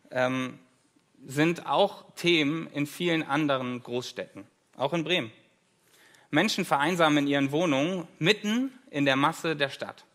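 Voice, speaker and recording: A man's voice: on the high side, fairly bright tone. A German man in his thirties, a guest preacher giving a conversational sermon with light self-deprecating humor, in a church.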